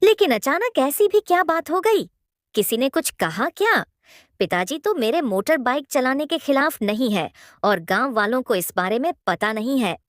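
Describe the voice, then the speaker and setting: A determined young village woman from an audio drama, voiced by a woman, speaks high and with great animation. She is spirited and questioning, in a dry, close recording. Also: lively intonation, normal speed, no room sound. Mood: insistent, questioning. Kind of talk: dramatised Hindi audio story acting.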